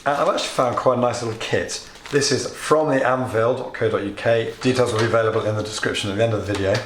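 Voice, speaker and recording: A British man walks through a home repair in a chatty, plain way, his voice a bit hoarse from a cold. The room rings with echo, and a faint hiss sits under the sound. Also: lowish voice, steady tone, fast. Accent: British English. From United Kingdom. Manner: chatty, explains steps plainly with small asides. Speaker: DIY video host. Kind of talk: relaxed DIY tutorial. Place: home workshop or kitchen.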